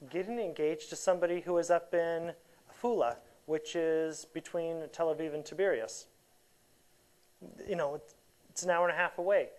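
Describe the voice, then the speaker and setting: A woman with a somewhat low voice speaks in a steady, conversational way. She tells stories at an easy pace. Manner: storytelling, animated when quoting. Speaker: missionary. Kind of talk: casual chat.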